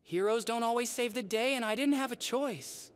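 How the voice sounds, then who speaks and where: Brief: woman playing a scared, secretive teen boy hiding a fatal secret. High voice, halting, emotional delivery for an audiobook. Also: no room sound, clean studio sound, close to an everyday voice. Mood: flat. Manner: emotional, halting, breaks into stammers. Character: teenage boy vigilante, secretive, frightened, dying. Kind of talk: podfic voice acting.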